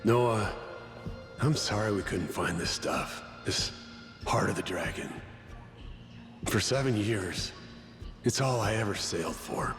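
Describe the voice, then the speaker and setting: A man voicing a worried, guilt-ridden seafaring father in a video game, sincere, heavy and halting. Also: on the low side, fairly bright tone. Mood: regretful. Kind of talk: scripted video game cutscene acting. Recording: echoey hall with faint music.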